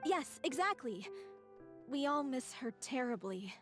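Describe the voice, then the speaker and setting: A woman's voice: high voice, sing-song, measured pace, fairly bright tone, full character voice. A woman playing a devoted, wistful theater troupe member in a video game, earnest and polite in manner. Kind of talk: video game dialogue acting. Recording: music playing behind the voice.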